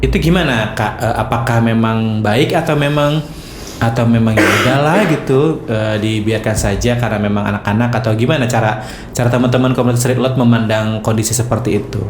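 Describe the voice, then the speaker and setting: A man's voice: medium pitch, fairly quick. A man hosting a casual live radio talk show in speech, often checking in with guests and repeating their answers back. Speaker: radio host. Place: radio studio. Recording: roomy echoing studio, faint background noise.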